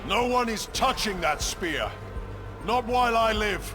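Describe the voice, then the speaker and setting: Booming, fierce space marine commander. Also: male voice, animated, unhurried, over music. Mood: stern, defiant. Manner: commanding battle cries, grim and defiant. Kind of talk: video game voice acting.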